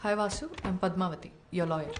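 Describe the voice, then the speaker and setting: An actress playing a sharp, blunt young woman lawyer who talks briskly and scolds freely. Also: fairly low pitch, natural voice. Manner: brisk, scolding, mixing English into Telugu. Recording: film soundtrack with clear music behind.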